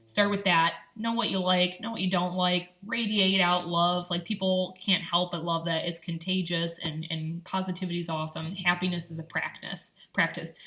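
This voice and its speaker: A woman's voice: on the low side, a bit bright. A young woman from Michigan with a light Upper Midwest accent, chatting encouragingly in a casual vlog with plenty of ums and likes. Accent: Upper Midwest American. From Michigan, USA. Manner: upbeat, encouraging, chatty with many fillers. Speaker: vlogger.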